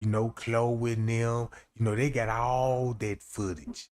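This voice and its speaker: Stream host, male, unhurried and warm-toned, casual rambling commentary. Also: medium pitch, flat delivery. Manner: loose, slangy and repetitive, often asking "you know what I'm saying". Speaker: livestream host.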